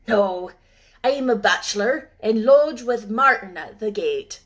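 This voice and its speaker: A woman doing a gruff old Yorkshire gardener. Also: medium pitch, animated, slow pace. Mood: plain. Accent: Yorkshire dialect. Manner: blunt, loud, drawling dialect speech. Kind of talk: storybook reading.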